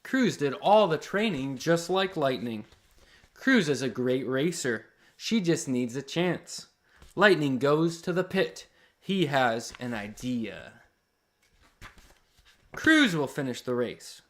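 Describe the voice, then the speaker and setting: Animated man reading slowly to children. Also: medium pitch. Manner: slow, simple, expressive reading for children. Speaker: host reading children's picture books aloud. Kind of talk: read-aloud storytelling with friendly asides to listeners.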